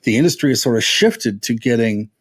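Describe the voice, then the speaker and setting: Medium-pitched man, conversational analyst. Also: expressive, fairly quick. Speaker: podcast co-host. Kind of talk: casual music discussion.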